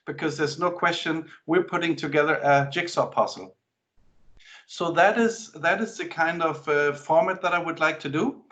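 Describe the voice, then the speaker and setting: A man's voice: a little high, a bit bright. Middle-aged man, stop-start webinar talk. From Denmark. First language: Danish and German. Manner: conversational, stop-start explaining. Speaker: export sales trainer. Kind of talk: webinar presentation. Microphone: video call. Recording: slightly muffled webinar audio.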